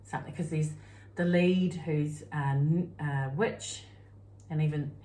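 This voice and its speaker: Kiwi woman gushing on a craft podcast, bit low voice, echoey. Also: a little slow. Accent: New Zealand. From New Zealand. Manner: animated storyteller, laughs and exclaims often. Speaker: podcast co-host. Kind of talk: casual chat.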